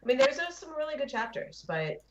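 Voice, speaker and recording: A woman's voice: high-pitched, very animated, a little fast, a bit bright, lo-fi sound. A woman chatting casually as a panelist on a gaming podcast, over voice chat. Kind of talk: casual panel discussion about a video game.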